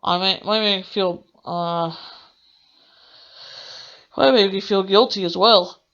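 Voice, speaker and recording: A woman gaming host with a sharp, very bright voice at an average pitch. She delivers lines like someone reading a story aloud to viewers, dramatic but homemade, with small stumbles. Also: steady tone, takes it slowly, lo-fi sound. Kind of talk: reading visual novel narration aloud for a let's play.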